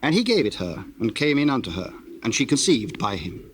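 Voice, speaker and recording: A man's voice: mid-range voice, sing-song, fairly quick. A man narrating a dramatized scripture reading in a solemn, storytelling style. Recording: hissy old cassette tape, birdsong behind.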